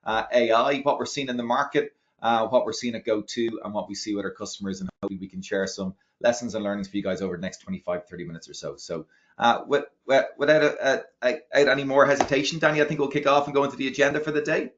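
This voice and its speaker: Fast-talking Irish man, lo-fi webinar audio. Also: mid-range voice, a little hiss or hum. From Ireland. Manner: energetic, chatty, tags sentences with "right?". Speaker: webinar presenter, product marketing executive. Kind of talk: conversational webinar presentation.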